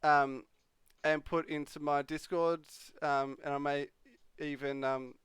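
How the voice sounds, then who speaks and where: A man's voice: fairly high pitch, level tone, slow, a bit bright, clean tone, dry recording. A young man hosting a live stream, chatting casually and answering viewers' questions. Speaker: livestream host. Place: home streaming room.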